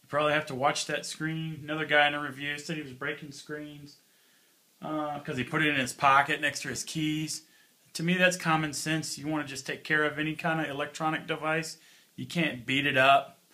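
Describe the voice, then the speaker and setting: A man's voice: a little high, little pitch movement, fairly quick, sharp tone. A man giving an informal, offhand hands-on product review in casual everyday speech. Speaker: gear reviewer.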